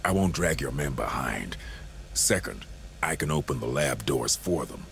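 An actor playing a hardened, cynical security captain in a video game, gruff, gravelly and sardonic. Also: male voice, extremely low pitch, expressive, normal speed, a bit bright, a little hiss or hum, exaggerated voice. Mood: sardonic calm. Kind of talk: cinematic game acting.

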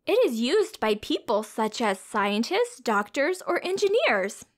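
A woman's voice: a little high, big pitch swings, a little slow. A woman reading a storybook aloud to young children as a teacher, expressively, with simple questions and explanations.

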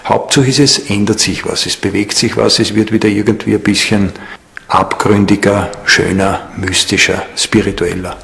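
A man's voice: lowish voice, steady tone, moderate pace, vocal fry, fairly bright tone. A man giving reflective commentary in an interview.

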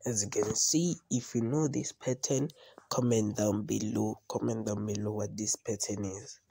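Guy with a cold doing a casual screen-recorded tutorial, gravelly dark voice, keeps asking "you understand?". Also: fairly low pitch, steady tone, moderate pace. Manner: repeats phrases, asks "you understand?" often. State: has a cold. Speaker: trading video host. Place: indoors, voice-over on phone screen recording.

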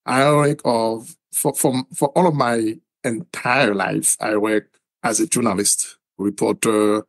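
Slow Haitian-accented man, halting. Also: on the low side, fairly even intonation, dry recording. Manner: halting, many repeated words. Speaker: guest. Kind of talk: interview answers.

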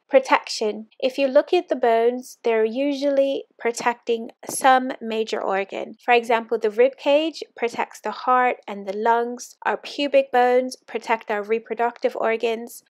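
A woman instructor narrating a scripted anatomy lesson over slides, in a clear, steady, explanatory way. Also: fairly high pitch. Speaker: fitness course instructor. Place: voice-over, not the pictured slides.

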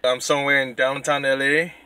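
A man in his thirties with a Nigerian accent, vlogging excitedly from his car at night into a handheld camera. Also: medium pitch, a little fast, clear, resonant tone. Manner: excited, chatty. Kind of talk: casual vlog. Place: car at night, then city street. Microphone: handheld camera at arm's length.

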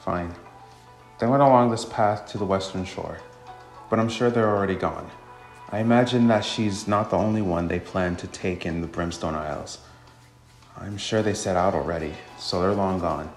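A man's voice: low voice, normal speed. A man voicing a despairing husband in a visual novel game, weary, bitter and skeptical. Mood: despairing, resigned. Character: despairing husband robbed of his wife, bitter and doubtful. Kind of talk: amateur visual novel voice acting. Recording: roomy with faint music.

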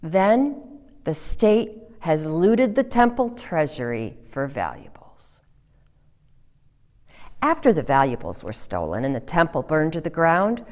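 A middle-aged woman pastor preaching slowly and deliberately, her pitch rising and falling with feeling. Her earnest delivery sometimes sinks to a hushed whisper. The voice rings with a long church reverb. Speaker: Lutheran pastor. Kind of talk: sermon. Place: church chancel, indoors.

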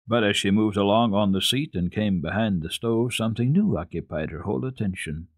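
A man giving an expressive, dramatic reading of classic children's literature as a radio storyteller, with deliberate phrasing. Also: fairly low pitch, no echo, clean studio sound.

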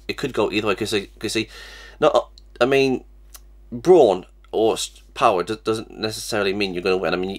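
Young male host with a cold, fairly low voice, halting and repetitive delivery, recorded at a desk webcam. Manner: stammering, many restarts and fillers. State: has a cold, sniffly. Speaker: reaction channel host. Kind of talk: casual reaction vlog. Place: small cluttered room indoors.

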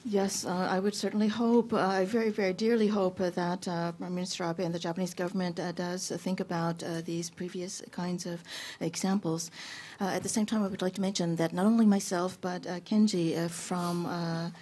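A woman's voice: on the low side, little pitch movement, fairly quick, mellow, husky. A woman interpreting at a press conference, hesitant, with many fillers as she translates. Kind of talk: consecutive interpretation.

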